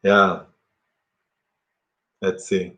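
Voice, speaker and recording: A middle-aged German man talking casually to camera in halting, self-correcting English with a German accent. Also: low-pitched, flat delivery, bright, forceful tone.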